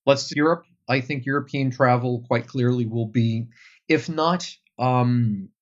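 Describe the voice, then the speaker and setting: A man's voice: medium pitch, unhurried, highs cut off. A young Flemish man, a Dutch speaker, answering interview questions about tour guiding thoughtfully, with many fillers. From Belgium. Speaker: tour guide guest.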